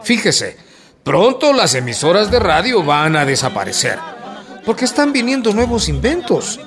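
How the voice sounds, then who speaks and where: A man's voice: high voice, huge pitch swings, moderate pace, a bit bright, a faint crowd, exaggerated voice. A man playing a condescending, patronizing government negotiator in a radio drama. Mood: patronizing, persuasive. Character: condescending male government negotiator, smooth-talking official.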